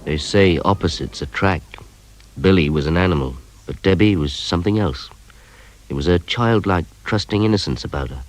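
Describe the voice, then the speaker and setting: A man's voice: deep voice, moderate pace, natural voice, rough recording. A man playing a wry, self-doubting police detective, confiding in a radio crime drama. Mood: brooding, wry. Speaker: narrator and lead detective character. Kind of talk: radio crime drama, first-person narration and dialogue.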